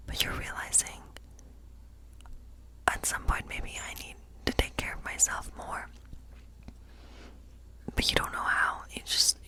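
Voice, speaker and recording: A gentle woodland witch, played by a woman, speaks entirely in a soft, breathy whisper. She muses slowly and circles back over her phrases as a tarot reader would. The style is an intimate ASMR fantasy role play. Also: lightly acted, poor audio. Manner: slow, gentle, musing, often repeating phrases. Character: kindly woodland witch reading tarot for a guest. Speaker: ASMR performer.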